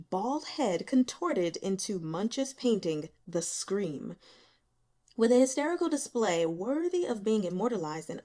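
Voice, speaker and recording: A woman reading a story aloud as dramatic, expressive scripted narration. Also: medium pitch. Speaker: audiobook reader.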